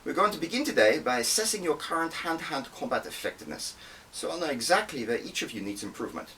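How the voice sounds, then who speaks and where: A man voicing a cocky, petite female combat instructor in an audiobook, brisk, commanding and teasing. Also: fairly high pitch, very animated, bright, forceful tone, far from the mic, a little hiss or hum, pitched above the performer's own voice. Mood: taunting, confident. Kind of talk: audiobook character dialogue.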